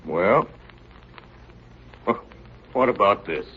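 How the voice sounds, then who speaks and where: An actor playing a steady, grave ship's officer telling of an Arctic voyage in 1930s radio drama style. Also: male voice, fairly low pitch, drawn-out words. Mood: easygoing. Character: ship's chief narrating his Arctic diary, steady and grave. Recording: muffled, noisy 1930s radio recording.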